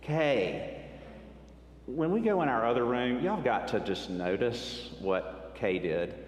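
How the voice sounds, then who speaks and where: Man, 50s, slow delivery, long reverb, faint room noise. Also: mid-range voice, expressive. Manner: friendly, personal storytelling. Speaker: retreat speaker. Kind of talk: speaking to a church retreat audience. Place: church hall. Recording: echoey hall, faint ambience.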